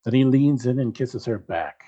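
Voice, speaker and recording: A man's voice: mid-range voice, expressive, normal speed, dark tone, husky, highs cut off. A man reading scripted narration for a comedy radio drama.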